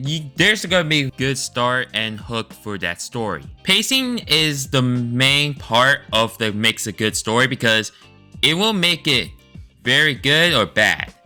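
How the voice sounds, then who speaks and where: A man with a very bright, forward voice and lively, up-and-down intonation gives informal video commentary. His phrasing is halting and choppy, at an unhurried pace. Guitar music plays behind him. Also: mid-range voice. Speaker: video creator reviewing a game story.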